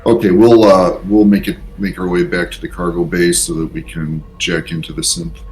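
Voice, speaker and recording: A man's voice: sits low, flat delivery, normal speed, darkish tone, rough recording. A man talking casually as a player in a tabletop role-playing game. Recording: faint background noise.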